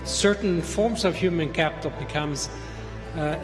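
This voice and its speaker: A man speaking about a topic in a slow, halting way with many hesitations. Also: fairly high pitch, big-room sound, over music. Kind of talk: lecture-like talk.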